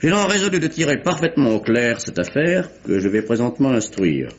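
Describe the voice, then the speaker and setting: Un comédien en procureur pompeux, intonation très mouvante. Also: male voice, medium pitch, sing-song, fairly quick, natural voice. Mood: formal, officious. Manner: formal, pompous, precise diction. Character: pompous, suspicious male British attorney general of the 1870s. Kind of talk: French radio mystery drama acting. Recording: dull, muffled vintage radio recording.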